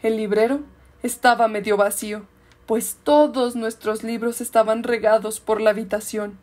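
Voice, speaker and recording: A woman's voice: on the high side, steady tone, moderate pace, a little mellow, lightly acted. A woman with a Mexican accent playing a murdered woman's ghost who narrates a radio mystery in a composed storytelling way. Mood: wistful, composed. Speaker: amateur actor in a radio play. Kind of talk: scripted dramatic narration.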